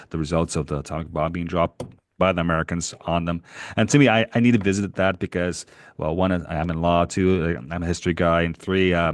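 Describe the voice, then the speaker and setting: A middle-aged man from Canada with a low, deep voice tells a long, animated story on a podcast. He rambles and chuckles as he goes. The sound is dry and close, with no room echo. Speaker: podcast co-host. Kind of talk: casual chat, travel storytelling.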